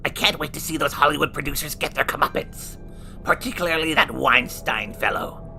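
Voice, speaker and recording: A sarcastic horror host with a shriveled, burnt face, as a man plays him, trading theatrical jokes in a scripted horror review. His voice is rough and gravelly and swings widely up and down. Music plays clearly beneath him. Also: fairly high pitch, animated, a little fast, sharp tone, exaggerated voice. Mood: snarky. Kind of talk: scripted comedic review banter. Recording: music bed underneath.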